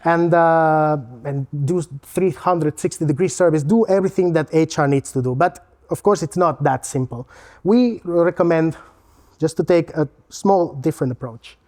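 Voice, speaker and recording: A man's voice: fairly high pitch, moderate pace, darkish tone. A man from Spain with a Spanish accent, giving a casual, joking presentation as a learning technology consultant. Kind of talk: conference presentation.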